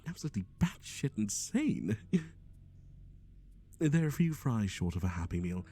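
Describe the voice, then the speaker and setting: A man's voice: lowish voice, very animated, unhurried, dark tone, slightly rough, heavily acted. A man playing a chatty, nervously cheerful, shady matchmaker in an ASMR roleplay, with a theatrical, sardonic delivery and hesitant asides. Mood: amused, ominous.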